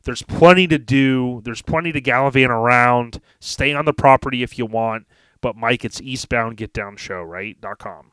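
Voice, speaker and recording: A man's voice: medium pitch, normal speed, bright, forceful tone, smooth, no room sound. A man hosting a podcast in a casual, folksy, slangy way, mixing chat with sponsor reads. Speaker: podcast host.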